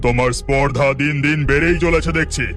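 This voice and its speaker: A man voicing a haughty, quick-tempered landlord's eldest son, giving commands in a cartoon drama. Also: on the high side, a bit bright, soft background music, natural voice. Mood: haughty anger.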